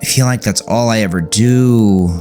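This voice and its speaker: A man's voice: on the low side, moderate pace, warm tone, faint music behind, pitched above the performer's own voice, close to an everyday voice. A man voicing a weary, affectionate young female college student with casual speech in an audiobook. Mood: glum.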